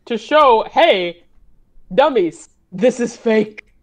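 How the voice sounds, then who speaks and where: A woman's voice: fairly high pitch, animated, very slow. A woman in a casual group chat, blunt and sarcastic, with some swearing. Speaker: show participant.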